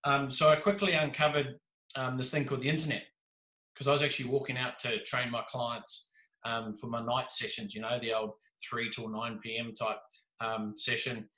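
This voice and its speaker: Give me an animated, jokey New Zealand man in his thirties, bright-toned and echoey. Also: mid-range voice, moderate pace. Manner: animated, gestures a lot, jokey. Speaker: podcast guest. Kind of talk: casual storytelling. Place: small room indoors. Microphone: video call with desk mic. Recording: echoey room.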